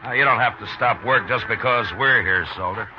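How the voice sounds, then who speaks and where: An actor playing a steady, shrewd Old West marshal in a 1950s western radio drama, speaking unhurriedly and watchfully. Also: male voice, mid-range voice, brisk, very noisy, natural voice. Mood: calm, shrewd. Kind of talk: 1950s western radio drama acting with first-person narration. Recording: muffled old 1950s radio recording, music behind.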